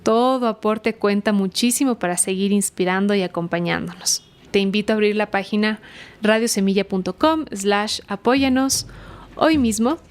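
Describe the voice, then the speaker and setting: Woman, podcast host, friendly, laughs easily, medium pitch. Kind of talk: podcast intro and interview.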